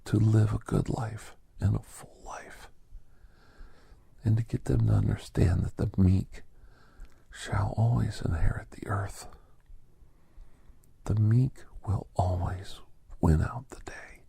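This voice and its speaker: A man playing a gentle, solemn doctor who tells a holiday story in a hushed, breathy, gravelly ASMR whisper, deliberate and reverent, with long pauses. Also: lowish voice, steady tone, dry recording, exaggerated voice. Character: gentle, solemn male doctor recounting a holiday tale to aliens. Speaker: narrator and storyteller.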